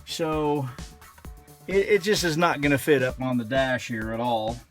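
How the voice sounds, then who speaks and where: A middle-aged American man narrating a hands-on vlog in a casual, unhurried way, thinking aloud as he goes. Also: mid-range voice, steady tone, fairly bright tone, soft background music. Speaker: vlogger showing a vehicle radio installation.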